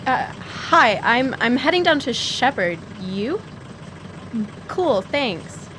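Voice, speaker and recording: Hesitant high-voiced young woman hitchhiker. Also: big pitch swings, fairly bright tone, full character voice. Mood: nervous, polite. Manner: hesitant, halting, polite. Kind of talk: live radio drama acting. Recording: clear car noise throughout.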